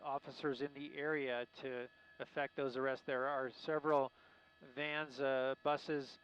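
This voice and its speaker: A man working as a helicopter news reporter, giving live unscripted commentary in a halting, searching way. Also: medium pitch, flat delivery, a bit bright, clean tone. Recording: muffled lo-fi live broadcast with faint noise.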